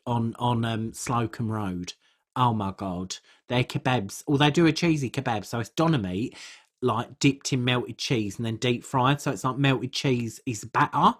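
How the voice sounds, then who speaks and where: A British woman playing an uncouth, food-obsessed podcast co-host, husky and rambling in colloquial, deadpan comic chat. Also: very deep voice, measured pace, full character voice. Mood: earnest, matter-of-fact. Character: uncouth, food-obsessed woman telling absurd tales earnestly.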